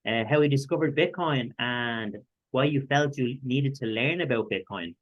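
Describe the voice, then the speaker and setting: A male podcast host introduces his guest in a halting way, with lots of fillers and self-corrections. His voice sits at a middle pitch. The sound is dull and lo-fi. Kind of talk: interview introduction.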